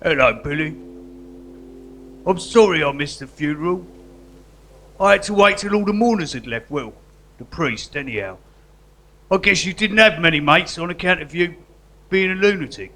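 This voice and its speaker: An actor playing a matey, sentimental guerrilla artist convict in a live comedy radio drama. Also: male voice, a little high, moderate pace, pauses often, pushed and bright, poor audio. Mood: wistful. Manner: matey, colloquial, earnest.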